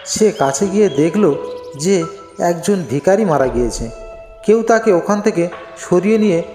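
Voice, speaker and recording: Echoey male cartoon storyteller, higher pitch. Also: animated, measured pace, warm tone. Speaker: narrator. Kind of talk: scripted storytelling narration for a cartoon. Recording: echoey, long reverb. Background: faint music.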